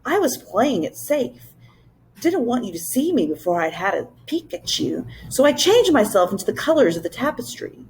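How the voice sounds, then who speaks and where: A woman's voice: fairly high pitch, very animated, normal speed, pitched above the performer's own voice, low-quality recording. A woman voicing a regal, chatty old moose-like creature king with a lisp for a children's storybook. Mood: cheerful, nonchalant. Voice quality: lisping. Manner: nonchalant, chatty, slightly haughty. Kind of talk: children's storybook read-aloud.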